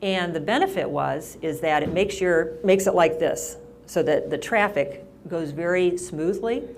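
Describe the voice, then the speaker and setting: A woman's voice: on the low side, a little slow, slight background noise. A middle-aged woman mayor talking casually at a senior center, rambling with lots of restarts and fillers. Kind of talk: casual town-hall talk.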